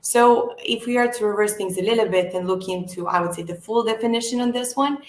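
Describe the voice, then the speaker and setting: A woman from Serbia giving a conference talk, speaking in a measured, earnest way with frequent "uh" and "um" pauses. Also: on the high side, echoey room. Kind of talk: presenting a business talk.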